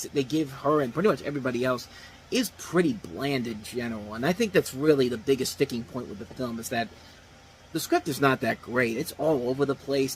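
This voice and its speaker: A man's voice: mid-range voice, poor audio. Thirties male host, loose unscripted film talk, restarts often, faint hiss behind. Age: 30s. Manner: rambling, restarts, repeats phrases. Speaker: movie reviewer host. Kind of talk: casual unscripted review talk.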